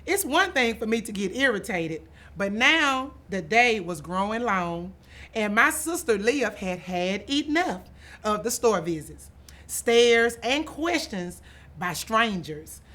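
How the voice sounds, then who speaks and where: A woman's voice: fairly high pitch, very animated, slow pace, fairly bright tone. Woman reading a children's story aloud expressively. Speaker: author and school administrator reading aloud. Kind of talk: expressive children's picture book read-aloud.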